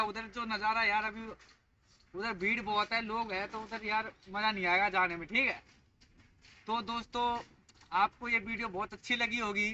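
A young man from India with a high, very bright and forceful voice talks playfully to his viewers outside in a park. The sound is dull and lo-fi from a handheld phone, with faint noise in the open air behind him. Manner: chatty, playful, addresses viewers as friends. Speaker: vlogger. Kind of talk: casual vlog chat. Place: outdoor park with gym equipment. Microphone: handheld phone camera, moving around.

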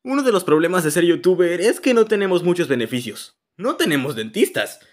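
Male narrator for a cartoon story dub: high voice, very lively intonation, comic exaggeration. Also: fairly quick. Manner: expressive, exaggerated comic delivery. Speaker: narrator of a fan-dubbed animated story video. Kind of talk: animated comedic storytelling narration.

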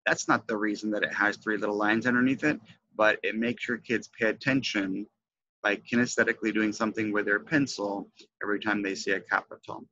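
A middle-aged man speaks as a trainer explaining a lesson, in a fairly low but forceful voice. He enunciates key words deliberately and with emphasis. The sound is dry and slightly lo-fi, as from a headset indoors. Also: steady tone, no echo. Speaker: teacher trainer presenting a lesson. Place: indoors, webcam presentation.

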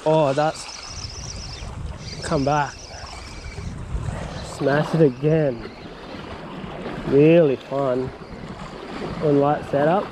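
A man giving casual running commentary while fishing on a rocky breakwall, recorded on a head-mounted action camera, breaking into excited whoops when a fish bites. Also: a little high, very slow, long pauses. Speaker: fishing vlogger. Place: outdoors on a rocky breakwall by the sea. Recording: outdoor wind and water noise.

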